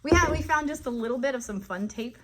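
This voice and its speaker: An animated woman hosting an informal live lesson for children, chatty and often checking in with questions. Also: sits high, quick pace, heavy background noise. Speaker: children's educational show host.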